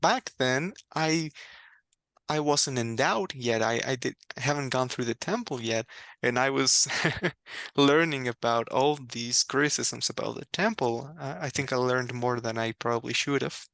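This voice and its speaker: Gravelly-voiced man. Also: medium pitch, a little slow, fairly bright tone, dry recording. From Mexico. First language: Spanish. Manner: reflective, candid, laughs often, restarts words. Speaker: guest. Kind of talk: personal faith story in conversation.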